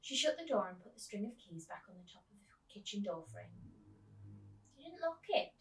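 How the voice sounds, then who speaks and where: A woman reading a children's story aloud as a teacher, with expressive narration. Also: mid-range voice, fairly quick, slight background noise. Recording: roomy echo, distant microphone.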